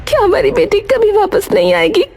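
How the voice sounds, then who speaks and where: A woman's voice: very high-pitched, wildly swooping pitch, a little fast, darkish tone, a little hiss or hum, pitched above the performer's own voice, full character voice. A woman acting an anguished, protective young mother in a cartoon moral story, emotional and pleading.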